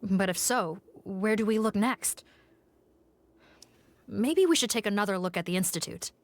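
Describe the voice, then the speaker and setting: A woman as a brisk female police officer. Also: medium pitch, natural voice. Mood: planning. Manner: steady, decisive.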